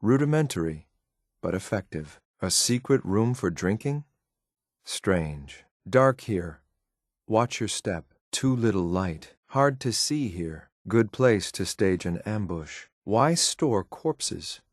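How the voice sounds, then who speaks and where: A cold, dutiful android soldier from a video game, with a low male voice. He speaks in a clipped, formal way, restrained and obedient. The sound is dry and close. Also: expressive, takes it slowly, no room sound. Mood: guarded, observant. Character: stern, loyal male synth operative, cold and dutiful. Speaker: companion character in a video game. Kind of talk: scripted game voice lines.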